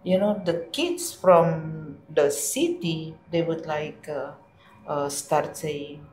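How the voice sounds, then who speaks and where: Slow, hesitant older woman. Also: low voice, darkish tone, roomy sound, rough recording. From Indonesia. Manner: hesitant, many "uh" pauses. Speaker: guest. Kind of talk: casual podcast chat.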